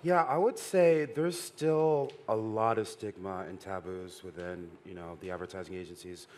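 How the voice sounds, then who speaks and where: A middle-aged man on a conference panel, speaking in a measured, businesslike way. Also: deep voice, darkish tone, a little hiss or hum. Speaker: panelist, VR adult company. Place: stage at a conference hall.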